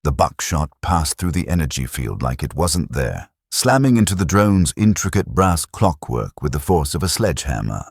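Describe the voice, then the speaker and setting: A man narrating a science fiction audiobook in a dramatic, measured delivery. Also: rumbling low, big pitch swings, gravelly, husky, dry recording, studio quality.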